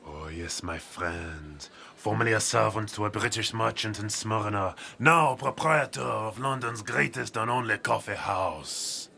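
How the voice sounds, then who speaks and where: An actor playing an eccentric, boastful coffee seller from abroad, speaking broken English with a foreign accent. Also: male voice, on the low side, a little slow, few pauses, sharp tone, a little hiss or hum, full character voice. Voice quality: gravelly, theatrical, laughing. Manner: grand salesman patter with broken English.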